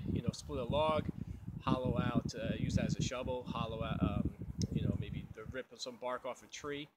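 A man's voice: mid-range voice, a bit bright, a little hiss or hum. A young man explaining outdoor survival in a casual, rambling way with many fillers, recorded outdoors in snowy woods on a camera mic a few meters away. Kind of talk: unscripted how-to explanation. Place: outdoors in snowy woods beside a snow cave.

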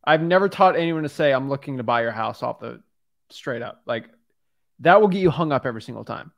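A man's voice: medium pitch, lively intonation, brisk, vocal fry, fairly bright tone. A young man in his twenties coaching a student on a video call, talking bluntly and directly into a studio microphone in a home studio. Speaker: wholesaling coach. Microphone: studio mic on a boom arm.

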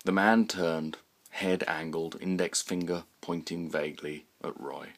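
Low-voiced British man reading a story. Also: steady tone, measured pace. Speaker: author reading own short story. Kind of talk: scripted literary narration.

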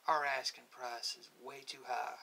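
A man playing a stern, folksy army sergeant in an ASMR interrogation roleplay, questioning a prisoner in halting, repetitive colloquial phrases. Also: medium pitch, fairly even intonation, creaky, sharp tone. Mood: probing, suspicious. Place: small cluttered room, indoors. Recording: hissy home recording.

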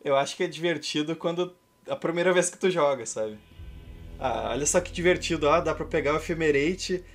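A man's voice: on the high side, a little fast, faint music behind. A man in his thirties with a Brazilian accent, chatting casually and ironically as he hosts and commentates a game stream. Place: indoor room at home.

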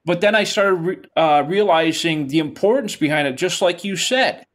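A middle-aged man leads a class over a webcam call from a home office. His voice sits a little high, and he talks in an encouraging, loose way, often repeating words as he restarts. The sound is plain laptop-call audio. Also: lively intonation, moderate pace. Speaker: instructor. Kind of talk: casual class video call. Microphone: video call webcam.

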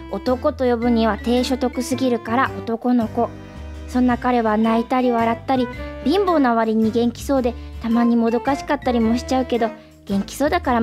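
An actress playing a struggling actor's gentle, wry and affectionate girlfriend in a radio drama, casual and soothing. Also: a little high, close to an everyday voice. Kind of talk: radio drama dialogue and monologue.

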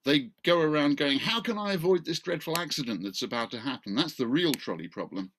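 A man's voice: on the high side, animated, brisk, a bit bright, a little hiss or hum. A middle-aged man, speaking informally and wryly as he introduces an online talk from a video call. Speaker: event chair, law professor. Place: small book-lined room at home, indoors. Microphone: video call, computer microphone.

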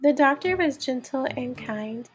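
High, expressive woman storyteller. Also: a little slow, husky. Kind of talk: children's storybook read-aloud.

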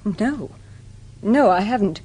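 Husky, poised English society woman. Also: mid-range voice, lively intonation, slow, dark tone, poor audio. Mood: composed. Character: composed, well-connected society woman under questioning. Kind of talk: radio drama acting.

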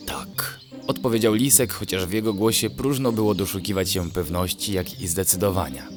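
A man narrating a children's bedtime story in an expressive storytelling manner. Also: deep voice, fairly quick, over music.

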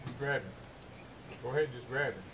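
A man's voice: mid-range voice, quick pace, distant mic, noisy background. A middle-aged man with a Southern US accent, casually explaining fish behavior by a pond outdoors. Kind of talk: casual chat while fishing.

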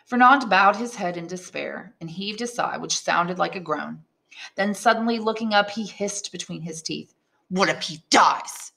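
Bright-voiced woman reading a novel aloud. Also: mid-range voice, normal speed, gravelly. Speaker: audiobook reader, English teacher. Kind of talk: expressive scripted novel narration.

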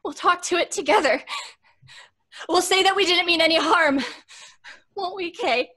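An actress playing a frightened, fragile woman slipping into hysteria in an old-time radio horror drama, halting and pleading. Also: very high voice, sharp tone. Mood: desperate, distraught.

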